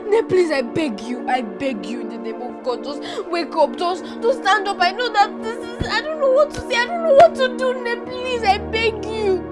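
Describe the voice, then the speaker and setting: A woman's voice: extremely high pitch, expressive, normal speed, few pauses. A woman voicing a young Nigerian orphan girl who pleads and sobs, repeating herself over and over. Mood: sobbing, begging. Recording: music playing underneath. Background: music.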